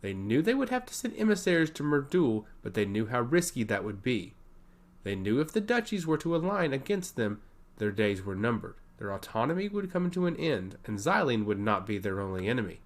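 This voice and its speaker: Guy reading out a fantasy tale, really lively pitch swings, dramatic storytelling. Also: a little fast. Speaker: narrator. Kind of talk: scripted fantasy story narration.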